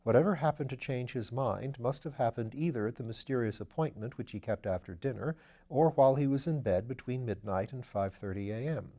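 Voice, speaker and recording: A man reading aloud, voicing a calm, methodical police detective who reports things in a measured way. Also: mid-range voice, lightly acted. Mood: matter-of-fact. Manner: calm, matter-of-fact, measured.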